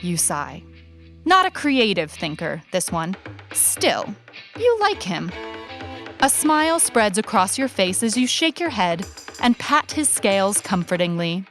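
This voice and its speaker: A woman narrates fiction with a lively, swooping delivery. She takes her time over the sentences, and music plays softly underneath. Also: mid-range voice, measured pace. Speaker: author reading own short story. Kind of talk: expressive scripted story narration. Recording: music bed under the reading.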